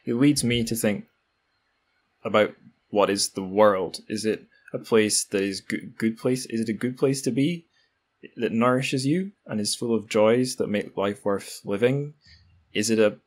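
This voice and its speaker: A man hosting a podcast, talking reflectively about books in a musing, conversational way. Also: lowish voice. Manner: musing, poses rhetorical questions. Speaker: podcast host. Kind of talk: reflective conversational book discussion.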